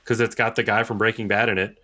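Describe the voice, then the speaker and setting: A man's voice: on the low side, flat delivery, fast talker, sharp tone. Emphatic, opinionated male podcast co-host. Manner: opinionated, emphatic, repeats points for stress. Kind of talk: casual podcast chat.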